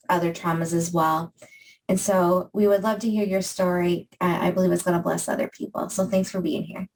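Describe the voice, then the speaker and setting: A woman's voice: fairly low pitch, far from the mic, low-quality recording. A woman from Maine hosting an interview podcast, asking questions with frequent fillers. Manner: warm hesitant questions with fillers. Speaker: podcast host.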